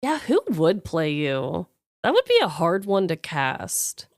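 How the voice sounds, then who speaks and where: A woman co-hosting a podcast in an expressive, informal chat with listeners and her co-host. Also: fairly low pitch, slow pace, no echo, clean studio sound. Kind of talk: casual chat, reading listener questions.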